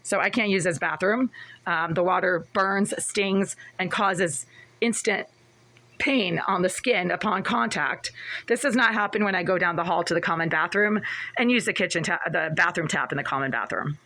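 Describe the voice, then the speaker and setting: A woman with a lowish, raspy voice records a long monologue to her phone in her apartment bathroom. She talks in an insistent, accusatory way and comes back to the same points again and again. Her voice drifts closer to and farther from the phone as she moves around the room. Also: normal speed, bright, forceful tone. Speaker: vlogger. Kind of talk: rambling monologue to camera.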